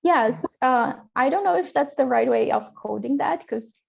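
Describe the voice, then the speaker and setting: A woman's voice: sits high, animated, normal speed, gravelly, slightly rough. A woman presenting research in an online academic panel, talking with hesitant fillers and restarts.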